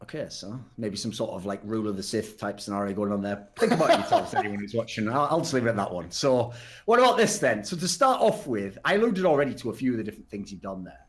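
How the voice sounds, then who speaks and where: A man's voice: lowish voice, sing-song, quick pace. A man hosting a casual podcast, talking in a blunt, sarcastic way and swearing freely. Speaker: podcast host. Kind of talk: casual talk show hosting.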